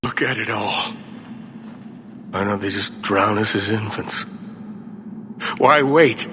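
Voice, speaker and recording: A middle-aged mage leader for a fantasy game, weary and embattled. His lowish voice is formal and solemn, weighed with despair. It rings with long reverb over loud background rumble. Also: takes it slowly. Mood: despairing, bitter. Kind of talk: dramatic video game voice acting.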